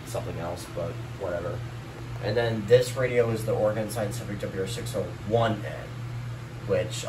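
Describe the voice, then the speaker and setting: A man giving a casual, meandering show-and-tell of a collection, filmed on a handheld camera in a small bedroom. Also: lowish voice. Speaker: hobbyist collector showing a collection. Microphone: handheld camera, behind the lens. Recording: distant mic, loud room hum and noise.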